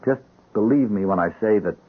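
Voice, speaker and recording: A guilty, earnest cop in a radio drama, halting and apologetic. The voice is deep and a bit rough, on a boxy, narrow-band recording. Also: male voice. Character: uneasy, apologetic policeman, guilty loyal buddy.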